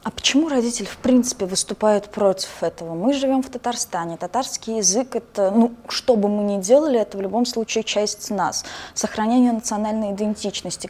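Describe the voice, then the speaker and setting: A woman's voice: mid-range voice, darkish tone. Woman interviewer asking direct questions.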